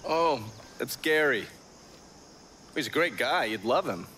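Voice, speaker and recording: An actor with an American accent playing a stern, sardonic government agent in a video game cutscene. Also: male voice, mid-range voice, lively intonation, takes it slowly, pushed and bright, a little hiss or hum. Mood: wry, satisfied. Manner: sardonic, accusing.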